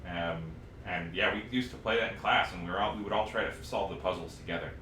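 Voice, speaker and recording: A man in his thirties chatting casually as a podcast co-host, rambling and halting as he goes. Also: sits low, pushed and bright, distant mic. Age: 30s. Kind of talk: casual chat about old games. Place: echoey room, live stream podcast recording. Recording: roomy echo, noticeable background hiss.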